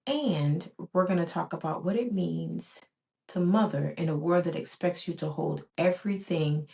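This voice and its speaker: Earnest, lower-voiced woman podcast host. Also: moderate pace, creaky, a little mellow. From born in Barbados, raised in the US. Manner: earnest, rhythmic, with repeated emphatic phrases. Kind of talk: reflective solo podcast monologue.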